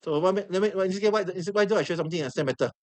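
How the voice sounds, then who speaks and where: A high-voiced man with a Singaporean English accent lecturing to a classroom of students. He sounds hoarse and worn, repeats words and keeps quizzing the room as he explains. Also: rapid-fire. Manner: animated, repeats himself, asks the room questions. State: hoarse, losing his voice. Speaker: trading instructor.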